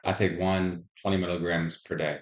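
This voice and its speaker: A man's voice: low voice, little pitch movement, moderate pace. A man answering health interview questions as a patient, in brief, plain replies. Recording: roomy, echoing voice pickup.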